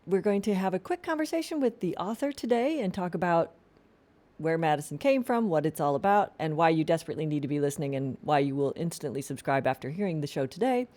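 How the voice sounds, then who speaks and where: A woman's voice: low voice, lively intonation, fast talker, mellow. A woman hosting a podcast in a casual, informal interview style with a chatty way of talking. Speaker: host.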